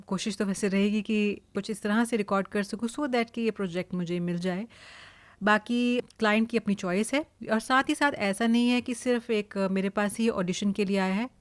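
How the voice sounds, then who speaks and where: A woman's voice: medium pitch, smooth, no room sound. An Indian-accented woman who works as a voice-over artist, explaining in a casual tutorial style.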